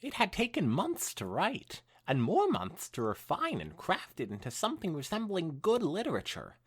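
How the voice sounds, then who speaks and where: A man reads aloud as a podcast co-host, with big swings in pitch and a husky edge to his voice. His delivery is expressive, now and then tripping on a word, and it slips easily into casual conversation. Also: normal speed. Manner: expressive reading, stumbling over words. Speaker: podcast co-host reading fan fiction. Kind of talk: dramatic read-aloud mixed with casual chat.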